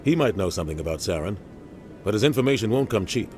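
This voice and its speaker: A man's voice: on the low side, animated, quick pace, a little mellow, close to an everyday voice. A man playing a middle-aged navy captain in a video game, grave, embittered and emphatic. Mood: matter-of-fact. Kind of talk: video game cutscene acting. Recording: music behind game dialogue.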